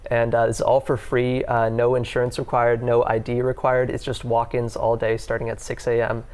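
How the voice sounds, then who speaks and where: A man's voice: mid-range voice, monotone, moderate pace, darkish tone. A young man, a pre-med student, speaking casually in a TV studio interview on a lapel mic, with lots of fillers. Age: young adult. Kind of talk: live TV interview. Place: TV news studio.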